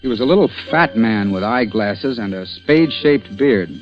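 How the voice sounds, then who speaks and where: A man's voice: on the low side, expressive, moderate pace, loud music behind the voice, natural voice. An actor playing a stubborn, exasperated man about town in a 1940s-style radio crime drama, speaking in a clipped, dramatic manner. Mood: grim recollection. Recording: dull old-time radio recording.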